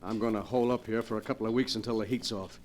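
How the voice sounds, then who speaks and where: A man's voice: fairly low pitch, steady tone, racing, a little mellow, close to an everyday voice. An actor playing an arrogant, menacing gangster boss in 1940s radio crime melodrama, with tough-guy slang and a commanding manner. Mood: commanding, boastful. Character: arrogant, menacing male gangster boasting he cannot be killed. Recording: noisy vintage 1940s radio recording.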